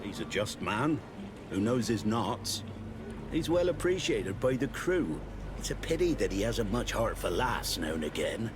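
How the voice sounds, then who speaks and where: Low-voiced, rueful fantasy sailor. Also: male voice, big pitch swings, unhurried, background noise. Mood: earnest, wistful. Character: shaken, defensive seafaring crewman in a fantasy game. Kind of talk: video game dialogue acting.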